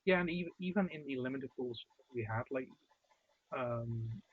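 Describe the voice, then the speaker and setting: A man co-hosting a card game stream over a remote call, with a husky voice, talking things through with hesitant restarts. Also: mid-range voice, expressive, a little slow, slight background noise. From outside the United States. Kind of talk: casual post-game chat. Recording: dry, tinny call-quality audio.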